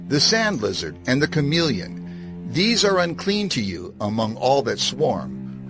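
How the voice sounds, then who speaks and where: A man reading scripture in a solemn, measured, declarative way, as dramatized narration. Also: mid-range voice. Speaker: scripture reader. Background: background music.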